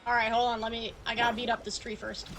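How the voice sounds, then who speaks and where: High-voiced woman streaming a video game. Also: monotone, fast talker. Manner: chatty, addresses viewers. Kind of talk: casual live game commentary. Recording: game music behind the voice. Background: video game music.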